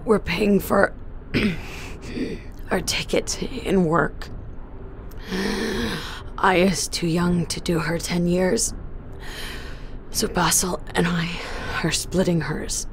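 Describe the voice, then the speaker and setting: A woman playing a dazed young colonist fresh out of cryosleep, halting and hesitant, in a naturalistic audio drama. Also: on the low side, fairly even intonation, a little hiss or hum. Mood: subdued.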